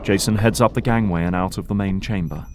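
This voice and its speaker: A man's voice: low-pitched, fairly quick. A man reading scripted narration for an audio drama. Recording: faint background noise.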